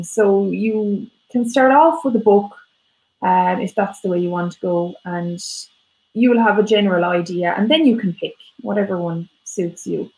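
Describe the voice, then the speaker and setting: A woman with an Irish accent, a language teacher, explaining things in a relaxed, reassuring way. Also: medium pitch, roomy sound, low-quality recording. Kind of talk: casual explanatory chat.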